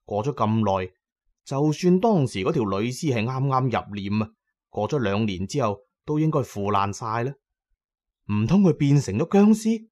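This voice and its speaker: A man's voice: medium pitch, sing-song, moderate pace, darkish tone. A man narrating a horror story as a theatrical, emphatic storyteller, with colloquial asides to his listeners.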